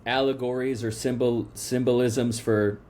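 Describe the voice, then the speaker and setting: A man's voice: medium pitch, level tone, unhurried, darkish tone. A man hosting a podcast interview, asking long questions with many restarts and fillers. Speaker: podcast host. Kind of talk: casual interview.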